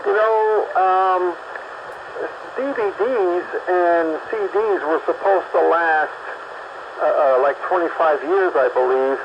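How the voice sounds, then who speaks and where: A man's voice: sits high, slow pace. A man calling in to a casual radio chat, rambling and hesitant with many restarts. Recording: dull lo-fi line with background noise.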